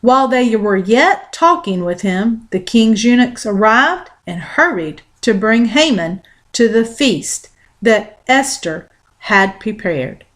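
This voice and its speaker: Woman w a high voice reading bible out loud, real slow and measured. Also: lively intonation. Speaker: Bible reader. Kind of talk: scripted scripture read-aloud narration.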